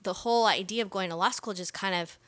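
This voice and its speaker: A woman's voice: medium pitch, fast, a bit bright, dry recording. A middle-aged woman from Costa Rica, a podcast guest telling career stories in a casual, conversational way. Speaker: podcast guest, music manager.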